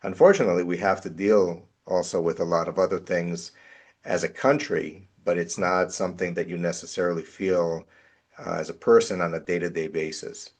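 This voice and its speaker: Deep-voiced American man on a video call. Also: steady tone. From Israel. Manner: measured, repeats words while searching for phrasing. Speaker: potential client. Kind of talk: casual video call conversation. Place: home office.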